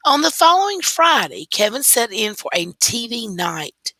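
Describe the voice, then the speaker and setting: A woman teacher reading a story aloud slowly and deliberately into a computer microphone. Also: on the high side, big pitch swings, sharp tone, no room sound. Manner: slow, deliberate read-aloud.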